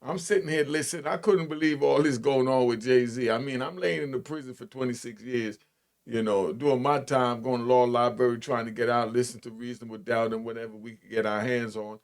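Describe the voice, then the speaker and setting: A man's voice: medium pitch, fairly even intonation, brisk. A middle-aged American man giving casual reaction commentary in a slangy, informal manner, often repeating himself.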